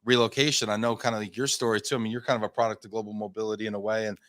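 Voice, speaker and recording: A man's voice: lowish voice, flat delivery, very fast, fairly bright tone, no echo, studio quality. A man in his thirties, a company founder being interviewed, telling his story in a chatty, self-deprecating, rambling way. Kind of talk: casual interview talk.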